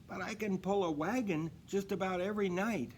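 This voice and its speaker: Guy doing a young girl reindeer from a storybook, high soft voice, earnest and wishful. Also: normal speed, a little hiss or hum, close to an everyday voice. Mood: eager.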